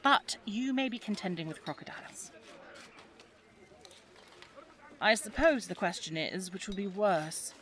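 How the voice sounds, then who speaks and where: An actress playing an inquisitive, dutiful, wry young daughter of a 1920s English archaeologist in an audio drama, with a polite, articulate, dry delivery. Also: female voice, medium pitch, animated, normal speed, a bit bright, lightly acted. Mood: wry, teasing. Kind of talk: scripted audio drama acting.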